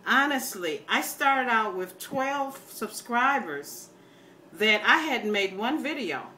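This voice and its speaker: A woman's voice: on the high side, animated, slow, bright, forceful tone, slight background noise, low-quality recording. An older American woman chatting casually to her viewers in a folksy, opinionated, rambling way. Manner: folksy, opinionated, plain-spoken, calls listeners baby. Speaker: video blogger.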